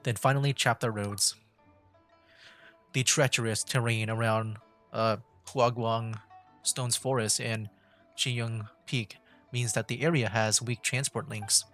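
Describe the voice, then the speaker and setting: A man's voice: medium pitch, fairly even intonation, takes it slowly, a bit bright. A male streamer talking over his game in a mid-range voice, rambling, stopping to correct himself and laughing at his own slips. He reads screen text aloud in between. Faint game music plays behind him. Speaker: streamer playing a story game. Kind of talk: casual game commentary and reading aloud.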